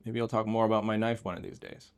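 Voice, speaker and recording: Casual low-voiced man showing gear. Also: level tone, quick pace, clear, resonant tone. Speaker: video host showing everyday tech gear. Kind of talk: casual chat, show and tell.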